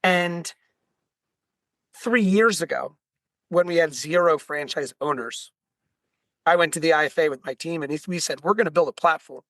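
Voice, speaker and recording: Slightly hoarse, higher-pitched man explaining business. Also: moderate pace, pauses often, a bit bright, dry recording. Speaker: guest, franchise company founder. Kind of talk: webinar interview, explanatory business talk.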